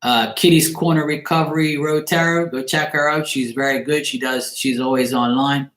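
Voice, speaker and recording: A man's voice: mid-range voice, fairly even intonation, normal speed, fairly bright tone. Echoey man chatting casually. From Bermuda. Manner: informal, blunt, joking. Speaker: livestream tarot reader. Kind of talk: casual livestream chat with viewers. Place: living room, indoors. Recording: echoey room with faint noise, clicks.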